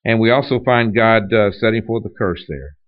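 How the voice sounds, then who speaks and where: A man's voice: fairly low pitch, normal speed, no echo. A man preaching a sermon in a conversational teaching style, often restarting his phrases. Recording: dull lo-fi dry recording.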